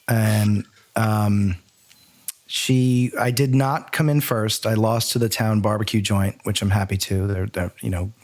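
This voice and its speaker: A man's voice: on the low side, darkish tone. A man hosting a podcast in casual banter, talking in a wordy, playfully mock-formal way. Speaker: podcast host.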